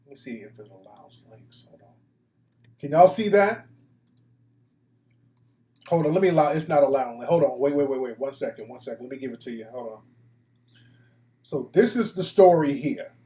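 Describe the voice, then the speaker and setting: A man's voice: medium pitch, expressive, normal speed, pauses often, dark tone. An American man hosting a casual, opinionated live talk show, speaking bluntly with slang and profanity. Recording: echoey room.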